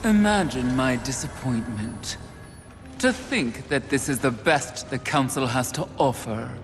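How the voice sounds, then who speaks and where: An actor playing a haughty, contemptuous embodiment of sin in a video game, pompous, sneering and theatrical. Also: male voice, mid-range voice, lively intonation, a little slow, in a large hall, over music. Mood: scornful. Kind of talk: dramatic video game acting.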